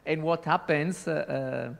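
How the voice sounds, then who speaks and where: A man's voice: on the high side, level tone, normal speed, a bit bright. Man with a mic in an echoey hall. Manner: hesitant, repeats words, non-native phrasing. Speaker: audience member asking a question. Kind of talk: conference Q&A comment. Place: large lecture hall. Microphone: handheld microphone.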